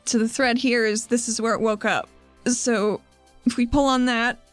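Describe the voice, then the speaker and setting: A woman's voice: fairly high pitch, steady tone, moderate pace, soft background music, lightly acted. A woman playing an embarrassed, self-deprecating young woman in a tabletop game, with a hesitant way of talking. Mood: unsure. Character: embarrassed, flustered, self-deprecating young woman exploring her own mind.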